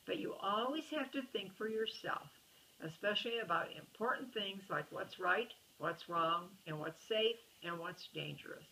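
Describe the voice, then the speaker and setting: A kindly old farmer bear, gruff and patient, drawling out folksy advice in a storybook reading, as a woman puts him on. The voice stays bright and a little lower than her own. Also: a little slow, recorded from a distance, a little hiss or hum, poor audio.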